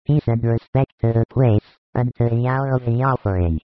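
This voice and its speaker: A man reading aloud and voicing a calm, gentle yet firm religious teacher. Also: medium pitch, a little slow, no room sound, faint music behind, natural voice. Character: calm, kind, authoritative male religious teacher. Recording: tinny phone-like dry recording.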